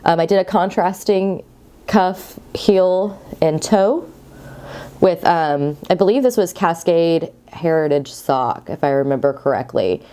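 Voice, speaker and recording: Woman podcast host, low mellow voice, stuffy from a cold, chatty and rambling. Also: unhurried, clean tone. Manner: chatty, rambling, friendly asides. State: congested from a cold, sniffly. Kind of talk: casual knitting podcast chat.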